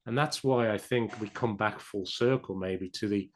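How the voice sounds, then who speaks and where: A male podcast host with a somewhat low voice, chatty and encouraging toward his guest. He often repeats a word while he gets a thought going. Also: normal speed. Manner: chatty and encouraging, with stammered restarts. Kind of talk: podcast interview.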